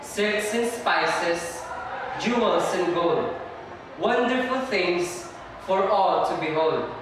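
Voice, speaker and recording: A man's voice: sits high, takes it slowly. A male student performer playing a determined sailor dreaming of riches in a readers' theater recital. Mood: resolute. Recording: echoey hall from far off, noisy.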